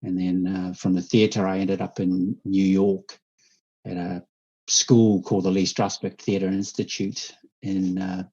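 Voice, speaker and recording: A man from New Zealand, a guest storyteller, answering interview questions thoughtfully, halting often with fillers and restarts, speaking over a video call. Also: sits low, flat delivery, warm tone, slightly rough. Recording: dull lo-fi remote audio.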